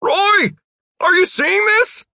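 Man acting excitable high-pitched young wizard. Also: a little fast, dry recording, studio quality, heavily acted. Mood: excited, delighted. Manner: loud, incredulous, slangy. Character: excitable young male wizard, exasperated then eager.

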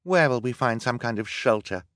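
A worried storybook girl, acted by a male narrator. She cries out anxiously in a raised, high pitch with a swooping delivery and dry sound. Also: lively intonation, normal speed, fairly bright tone, no room sound, natural voice. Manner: crying out, anxious. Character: worried young girl, anxious older sister. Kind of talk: audiobook character acting.

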